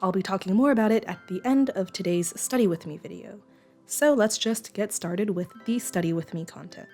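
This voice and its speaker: A young woman with a slightly croaky voice from a cold, explaining her note-taking methods in a casual voice-over. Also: mid-range voice, moderate pace, darkish tone, faint music behind. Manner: explanatory, rambling with fillers. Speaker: study vlogger. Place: lives in the San Francisco Bay Area.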